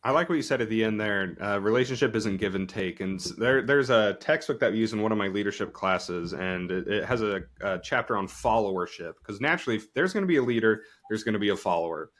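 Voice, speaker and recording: A man's voice: on the low side, quick pace, hardly any pauses, a bit bright. A young man from Utah hosting a podcast interview, talking thoughtfully with many fillers. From Utah, USA. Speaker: podcast host and teacher. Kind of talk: conversational interview.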